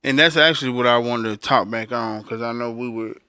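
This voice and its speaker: A man with a bright, forceful voice and a clear, resonant tone talks casually as a podcast co-host. He explains things like a working engineer, straight to the listener, in a close, dry recording. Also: fairly quick, no room sound. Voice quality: deep voice. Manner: conversational explainer, addressing listeners directly. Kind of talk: casual instructional talk.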